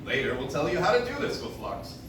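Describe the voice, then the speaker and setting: Genial old-time radio host guy, acted, formal script reading, higher male voice, far from mic, noisy. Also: wildly swooping pitch, quick pace. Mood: genial, presenting. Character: genial 1940s radio producer-host, selling and narrating. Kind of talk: 1940s radio hosting, scripted narration. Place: coffee house stage, recorded from audience. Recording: echoey room from afar, clear noise.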